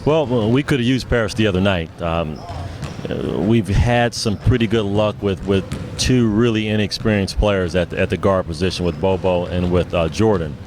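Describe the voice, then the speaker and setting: A man's voice: low-pitched, moderate pace, noisy background. A man, a basketball head coach, giving casual answers in a sports interview.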